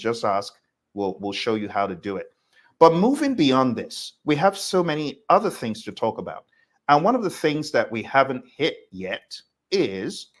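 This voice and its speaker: A man teaching a live online class, talking in an emphatic, conversational way and addressing listeners directly. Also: medium pitch. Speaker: instructor hosting a live class. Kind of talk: live online lecture with audience questions.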